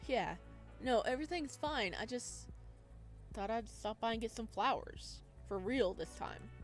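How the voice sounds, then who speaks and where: A woman's voice: fairly high pitch, expressive, measured pace, faint music behind, voice pushed up. A woman voicing an earnest, indecisive young man in a visual novel, speaking casually and hesitantly. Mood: thoughtful.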